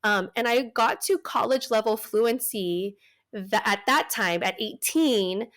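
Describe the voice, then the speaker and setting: A woman's voice: on the high side, slow pace, fairly bright tone. A woman in her thirties with an American accent, talking casually as an interview guest, chatty with frequent laughs and restarts. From Taiwan, raised in the United States. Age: 30s. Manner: chatty, laughs often, many fillers and restarts.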